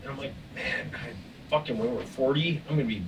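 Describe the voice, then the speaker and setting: A man's voice: medium pitch, brisk, recorded from a distance, crowd noise, poor audio. A tradesman around forty co-hosting a podcast, telling stories casually and swearing often. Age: 40s. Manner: profane, storytelling. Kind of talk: casual chat.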